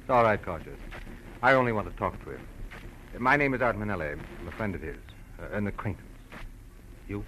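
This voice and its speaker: Smooth little gangster, fake polite, kinda low voice, old radio show with slight noise. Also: male voice, lively intonation, fairly quick, pauses often, bright, forceful tone, poor audio. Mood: affable, prying. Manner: mock-polite, slick, guarded. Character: smooth, dapper small-time male casino racketeer. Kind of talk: 1940s radio crime drama acting.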